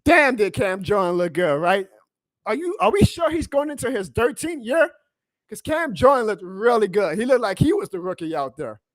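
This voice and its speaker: A man hosting a live sports reaction stream, excitable and emphatic, his voice going hoarse. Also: sits high, moderate pace, no room sound, clean studio sound. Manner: excitable, emphatic, plugs merch, reads chat aloud. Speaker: sports fan livestream host.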